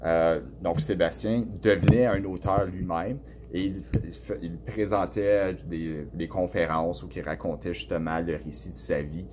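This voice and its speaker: A man from Canada with a Canadian French accent, answering students' questions about his book in a relaxed, hesitant way with many fillers and restarts. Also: low voice, measured pace, few pauses, noisy background. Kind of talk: casual spoken answers to a class.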